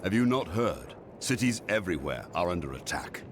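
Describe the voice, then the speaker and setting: Grim war-worn soldier, extremely low, animated intonation, game cutscene, noisy ambience. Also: male voice, normal speed. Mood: grim, weary. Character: battle-weary male soldier, grim. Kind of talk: video game cutscene acting.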